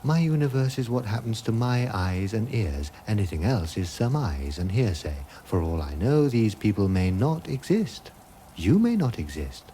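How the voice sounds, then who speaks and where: Musing, mellow hermit philosopher. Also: male voice, on the low side, sing-song, normal speed, slight background noise, rough recording. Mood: detached. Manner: musing, detached, hesitant, questioning everything. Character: vague gentle male hermit philosopher, doubtful of all reality. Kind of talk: British radio comedy drama acting.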